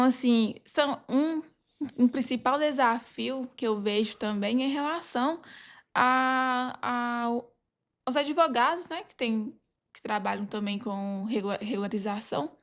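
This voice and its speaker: A Brazilian woman speaking as a podcast guest, casually recounting experiences in informal, halting phrases. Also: medium pitch. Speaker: podcast guest, legal advisor. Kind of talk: casual anecdotal conversation.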